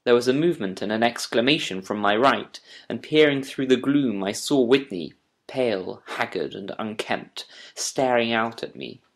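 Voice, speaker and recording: A man narrating a first-person audiobook story with measured, literary, deliberate phrasing. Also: mid-range voice. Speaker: audiobook narrator reading as Doctor Watson.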